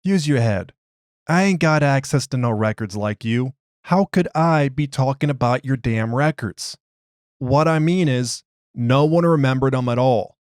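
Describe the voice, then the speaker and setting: A man's voice: medium pitch, unhurried, darkish tone, clean tone, no echo, clean studio sound, natural voice. A man voicing an agitated, streetwise prisoner who argues his case in slangy, ungrammatical, exasperated speech. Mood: agitated, insistent. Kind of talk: dramatized interview log.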